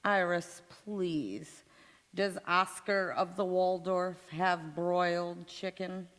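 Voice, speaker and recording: A woman's voice: lowish voice, steady tone, slow pace, a bit bright. A woman playing a breezy, naive, overconfident housewife in a vintage radio comedy on stage. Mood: breezy, confident. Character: scatterbrained, cheerfully overconfident housewife in a radio sitcom. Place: large indoor hall, live stage performance. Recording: reverberant church hall stage recording.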